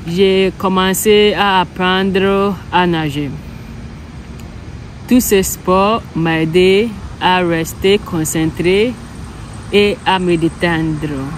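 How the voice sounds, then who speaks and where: Slow, halting woman learner. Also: on the low side. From Ghana. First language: English. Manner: hesitant, halting, self-correcting. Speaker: French learner. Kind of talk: practice speaking exercise. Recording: steady background hum.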